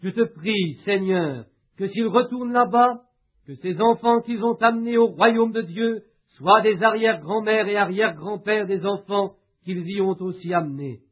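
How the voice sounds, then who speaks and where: A man reading a sermon aloud and leading a prayer in a measured, unhurried way with frequent pauses. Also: high-pitched. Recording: tinny, phone-like lo-fi recording.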